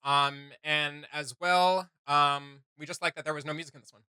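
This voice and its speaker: A man gives casual, enthusiastic commentary as host of a reaction video. His voice sits a little high and sounds bright, with a very dry, close sound. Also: steady tone, normal speed, clear, resonant tone, no echo. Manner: enthusiastic, informal.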